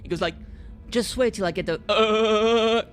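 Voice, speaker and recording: A woman's voice: medium pitch, a little slow. A young woman streaming a game, reading the subtitles aloud with playful chatter. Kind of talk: casual gameplay commentary.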